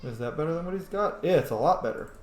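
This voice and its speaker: Male let's-play host, casual, lively and excitable, faint background hiss. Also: a little high, quick pace, reverberant. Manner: excitable, jokey, bursts of shouting. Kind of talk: casual gameplay commentary.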